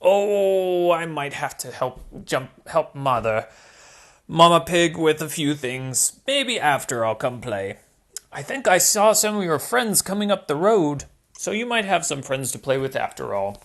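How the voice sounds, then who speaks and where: A woman voicing a kindly father pig home from work, gentle and easygoing. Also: sits low, big pitch swings, measured pace, exaggerated voice.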